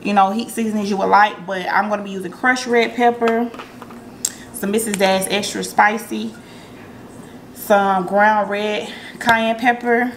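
A woman hosting a relaxed cooking tutorial in her home kitchen, chatting to her viewers with plenty of ums as she works. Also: mid-range voice, a little slow, a little hiss or hum. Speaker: cooking vlogger.

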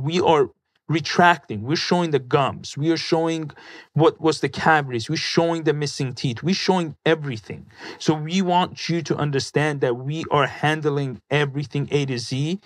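Man explaining eagerly, slightly high voice. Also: no echo. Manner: enthusiastic, explanatory, salesy. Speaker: dentist guest. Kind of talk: casual chat. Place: colorful studio set. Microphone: studio mic on boom arm, headphones.

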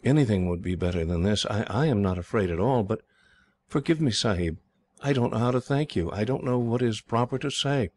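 A man voicing a frightened young English girl who was raised as a slave, speaking timidly and haltingly. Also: fairly low pitch, expressive, a little mellow, pitched above the performer's own voice.

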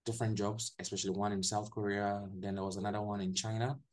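A young man with a Ghanaian accent, a guest telling his story in a relaxed way on a video call from a small room, often restarting his sentences. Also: lowish voice, fast. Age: young adult. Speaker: guest, English teacher. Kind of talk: casual video-call interview.

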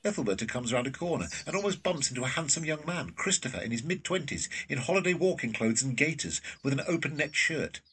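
A man's voice: fairly high pitch, expressive, fast, few pauses, fairly bright tone, slightly rough, far from the mic. A man narrating an audio drama from a script.

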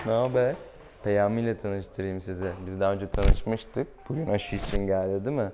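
A man's voice: fairly low pitch, moderate pace. A man, a vet chatting casually and playfully, affectionately teasing an animal patient in a small exam room. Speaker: veterinarian presenting a clinic video. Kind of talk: casual playful chat with a pet and viewers. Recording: clear room noise behind.

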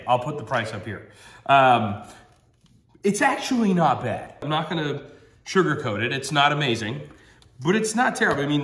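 A man's voice: medium pitch, a bit bright. Upbeat man, slightly nasal, roomy sound. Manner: chatty, upbeat, thinks aloud with ums. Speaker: product reviewer. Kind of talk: casual product review. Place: room at home, at a table.

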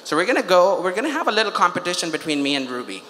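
Bright-voiced man in a reverberant hall. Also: high voice, very animated, racing, a little hiss or hum. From Pakistan (Karachi). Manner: conversational, engaging the audience with questions. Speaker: charity event host and fundraiser. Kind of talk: informal stage address to an audience. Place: large auditorium hall on stage. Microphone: handheld microphone through PA. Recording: echoey hall PA, long reverb.

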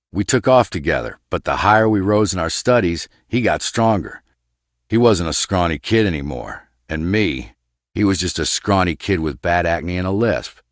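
An actor playing a gruff, guarded, brooding scientist in a video game, with a terse, clipped, deadpan delivery. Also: male voice, low-pitched, no room sound, studio quality.

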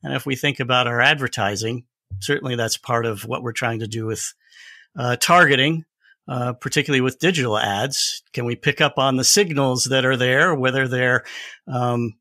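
Bright-voiced man explaining in conversation. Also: medium pitch, moderate pace, dry recording, studio quality. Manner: explanatory, thoughtful. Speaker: podcast guest. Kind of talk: conversational expert discussion.

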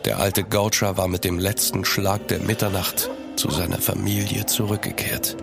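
An actor playing the grave narrator of a horror audio drama. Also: male voice, deep voice, steady tone, normal speed, creaky, over music.

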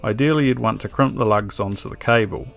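A man giving a calm, methodical scripted voice-over for an electronics build tutorial, with a dry sense of humor. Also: fairly low pitch, fairly quick, creaky. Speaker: electronics DIY video maker. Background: soft background music.

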